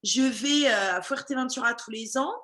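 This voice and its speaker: A woman's voice: a little high, normal speed, a bit bright. A woman running a language school, chatting casually in an interview with many fillers and restarts.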